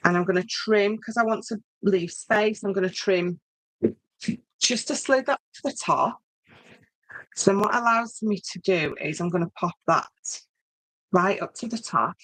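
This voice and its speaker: A woman's voice: mid-range voice, unhurried, a bit bright, dry recording, low-quality recording. A woman with a Yorkshire accent hosting an informal craft demonstration, chatting to viewers and thinking aloud as she works. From Yorkshire, northern England. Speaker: craft tutorial host.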